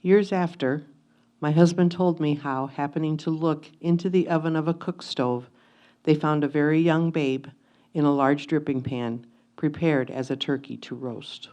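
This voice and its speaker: Mellow, low-pitched woman reading solemnly. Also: fairly even intonation, moderate pace, lo-fi sound. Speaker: reader of historical letters in reader's theatre. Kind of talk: dramatic reading of a first-person historical memoir.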